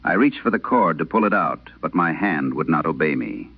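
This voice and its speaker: A man narrating a vintage late-night radio horror show, reading letters in a solemn, measured, literary way through an old radio filter. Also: rumbling low, expressive, slightly rough, dull audio. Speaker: horror audio drama host reading letters. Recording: band-limited, dry vintage radio sound.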